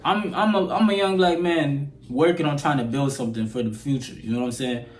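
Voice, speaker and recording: A man's voice: on the high side, a little fast. Eighteen-year-old man with an American accent. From Sudan. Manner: rambling and conversational, often asks "you know what I'm saying?". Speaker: vlogger. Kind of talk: opinion rant to camera. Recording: roomy echo.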